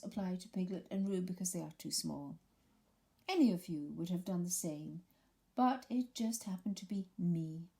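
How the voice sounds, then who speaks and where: A woman voicing a gloomy, pompous old male donkey who speaks slowly and solemnly. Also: mid-range voice, mellow. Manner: slow, solemn, self-pitying speech.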